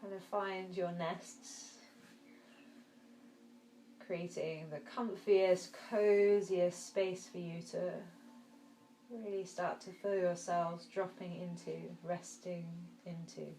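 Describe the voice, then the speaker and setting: Slow, soothing woman guiding deep relaxation. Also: level tone. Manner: soft, soothing, gentle, with long pauses. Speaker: yoga nidra teacher. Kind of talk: guided relaxation meditation. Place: indoor room. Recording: roomy echo, faint background noise.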